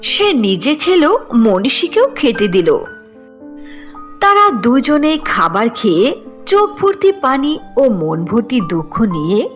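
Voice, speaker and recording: Woman narrator, scripted folk-tale storytelling, fairly high voice, animated intonation, music underneath. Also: slow.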